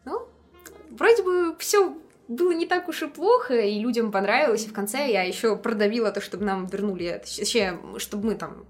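A woman musician chatting casually as an interview guest, telling lively anecdotes in slangy speech and acting out the dialogue she quotes. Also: on the high side, moderate pace, faint music behind.

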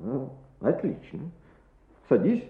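An actor playing an important, grey-haired education official in a radio play, measured, patient and encouraging. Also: male voice, mid-range voice, wildly swooping pitch, vocal fry, heavily acted. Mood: attentive. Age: older. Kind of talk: Soviet radio play acting. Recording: tinny, echoey old radio play recording.

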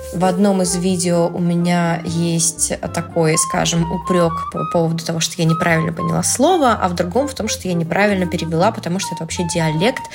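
A young woman from Russia, a translator, explaining things in a casual, playful vlog with slangy asides and animated emphasis on key words. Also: fairly low pitch. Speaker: translator and Italian language blogger. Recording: music playing loudly under the voice. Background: background music.

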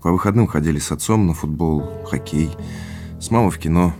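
An actor playing an easygoing, laconic boyfriend in a naturalistic audio drama, with an understated delivery. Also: male voice, extremely low pitch, a little fast, dark tone. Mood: easygoing, curious. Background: music underneath.

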